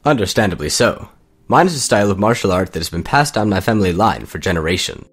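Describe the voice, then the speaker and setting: An actor playing a calm, courteous young martial-arts student with a measured, formal manner in an audio drama. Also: male voice, lowish voice, slightly rough. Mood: calm, patient.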